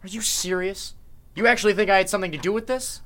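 A man's voice: sits high, fast talker, a bit bright. An actor playing an earnest man taking charge of a mystery in an old-style radio play, with urgent theatrical delivery. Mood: grim, defensive. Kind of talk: Golden Age style radio drama acting.

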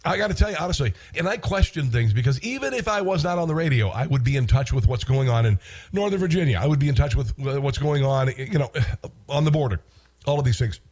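A man's voice: mid-range voice, lively intonation, quick pace, a little mellow. A congested man with an American accent hosting talk radio in a casual, wry monologue, repeating phrases for emphasis.

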